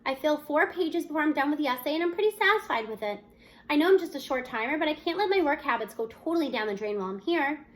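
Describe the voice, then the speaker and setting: A woman's voice: high-pitched, lively intonation, fast. A woman reading a children's novel aloud expressively, narrating as a student in the first person. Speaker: audiobook-style reader.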